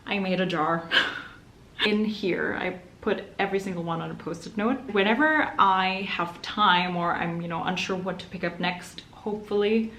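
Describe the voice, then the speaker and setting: A woman's voice: medium pitch, fairly even intonation, moderate pace, fairly bright tone, echoey room, a little hiss or hum, rough recording. A young adult woman whose first language is German, chatting upbeat in a reading vlog with light laughs. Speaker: book vlogger.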